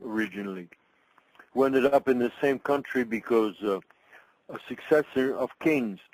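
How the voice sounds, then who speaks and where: An older man from Belgium, a migration researcher, answering interview questions in a thoughtful, halting way with many restarts. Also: mid-range voice, darkish tone, low-quality recording.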